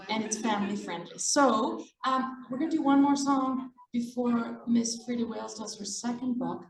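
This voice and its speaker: A woman informally hosting a children's singalong, speaking to young children with gentle repetition. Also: a little high. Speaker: children's storytime host. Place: echoey indoor room, library event. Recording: echoey room, distant mic.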